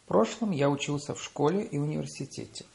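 A man reading paired lesson phrases, saying the English slowly and deliberately after quicker Russian. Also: a little high, gravelly, darkish tone. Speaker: language teacher.

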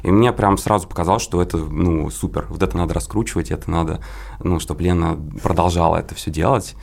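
A man speaks in a deep, fry-edged voice. He rattles along casually, circling around his point with hedges and half-finished explanations, as a guest in a relaxed interview. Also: quick pace. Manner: rambling, hedging explanations. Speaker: guest, creative partner in an illustration and games project.

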